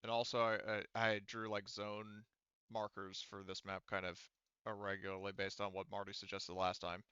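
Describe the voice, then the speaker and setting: A man running a tabletop game as game master, explaining rules casually in a halting, self-correcting way. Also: fairly low pitch, flat delivery, pushed and bright, clear, resonant tone, dry recording, lo-fi sound.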